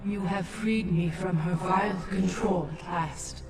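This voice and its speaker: Echoing, stately alien matriarch. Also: female voice, lowish voice, steady tone, a little slow. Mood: grateful, solemn. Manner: formal, stately. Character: ancient, dignified alien matriarch, solemn and devoted. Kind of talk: dramatic video game acting. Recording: echoey, distant, loud music behind.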